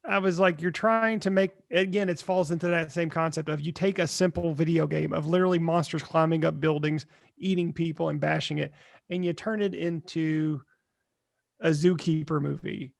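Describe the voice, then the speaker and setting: Male co-host, high register with gravelly fry, opinionated, rambling, conversational. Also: fairly even intonation, normal speed, no room sound, clean studio sound. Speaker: podcast co-host. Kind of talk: casual chat.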